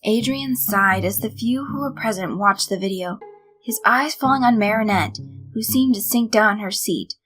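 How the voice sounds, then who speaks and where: A woman's voice: mid-range voice, moderate pace, a bit bright, low-quality recording. A woman reading a piece of fan fiction aloud as scripted story narration. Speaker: fan fiction audiobook reader. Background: background music.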